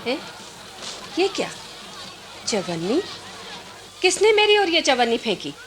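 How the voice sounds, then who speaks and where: Proud poor village mom, high voice swinging all over, prickly n scornful, radio play. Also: female voice, big pitch swings, takes it slowly, soft background music, full character voice. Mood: indignant, scornful. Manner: sharp, scornful, declamatory. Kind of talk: Hindi radio drama acting.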